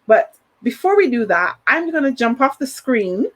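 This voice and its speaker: A woman's voice: on the high side, big pitch swings, normal speed. A woman hosting a live podcast, talking straight to the audience in an upbeat way. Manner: upbeat, addresses the audience directly. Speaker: podcast host.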